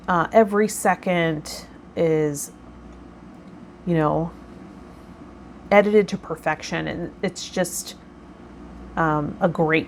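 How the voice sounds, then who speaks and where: A woman hosting a personal vlog, talking casually in a rambling, self-effacing way. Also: on the low side. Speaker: host of a tarot vlog. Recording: clear background noise.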